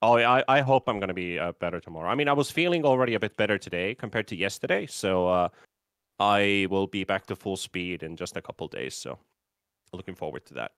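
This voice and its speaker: A man's voice: sits low, a little fast, clear, resonant tone, dry recording, studio quality. Nasal Bulgarian man casting a game. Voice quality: a bit nasal. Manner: relaxed, chatty, many fillers and restarts. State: recovering from flu, slightly sore voice. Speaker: esports caster. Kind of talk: live game commentary.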